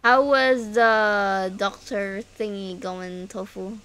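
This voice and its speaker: A woman's voice: medium pitch, fairly even intonation, takes it slowly, smooth. A woman chatting playfully with friends while gaming, joking and reacting to the match. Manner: playful, shouts when the game gets tense. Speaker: streamer playing with friends. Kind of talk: casual gaming chat.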